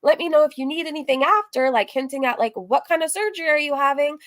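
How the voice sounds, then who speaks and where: A woman with a fairly high voice hosts a casual reaction stream. She talks candidly and in a rambling way, reading messages aloud and cutting herself off with asides. Her language is loose and conversational, with some swearing. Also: fast talker, a bit bright. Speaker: streamer and host of a reaction livestream.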